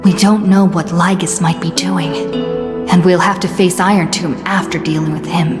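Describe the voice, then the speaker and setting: An actress playing a thoughtful, burdened young game heroine, musing to herself in a hushed, breathy, introspective way. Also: female voice, fairly low pitch, steady tone, normal speed, big-room sound, over loud music, close to an everyday voice. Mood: pensive, worried. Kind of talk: scripted video game monologue.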